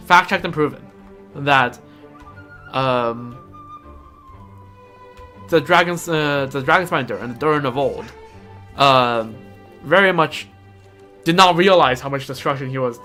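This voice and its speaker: A man who speaks English as a second language, streaming a game with casual, halting commentary and lore reading. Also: fairly high pitch, sharp tone. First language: not English. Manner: halting, casual, reacting aloud.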